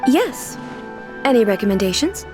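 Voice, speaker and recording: Game casting: dignified woman shogun, formal, earnest, naively inquisitive, lightly high voice with lively inflection. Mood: calm, reassuring. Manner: formal, measured, literal-minded questioning. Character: dignified female shogun ruler, earnest, naively curious about ordinary pleasures. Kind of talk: English video game voice acting. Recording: background music.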